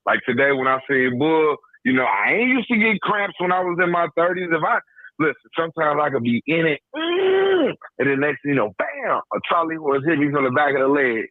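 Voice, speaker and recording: Middle-aged man, expressive storyteller, tinny phone line, dry sound. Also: fairly high pitch, a little fast, dry recording. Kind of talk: casual chat.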